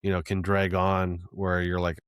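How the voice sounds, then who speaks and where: A man's voice: low voice, monotone, unhurried, smooth, no echo, clean studio sound. A man hosting a casual interview in an easygoing way, often checking in with "right?" as he thinks aloud. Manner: easygoing, with many fillers and restarts, ending lines with "right?". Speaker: podcast host.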